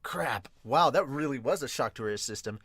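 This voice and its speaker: A man with a mid-pitched voice gives casual reaction commentary as a manga podcast host. He is excitable and rambles as he goes. The sound is dry and close. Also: normal speed, slightly rough, dry recording.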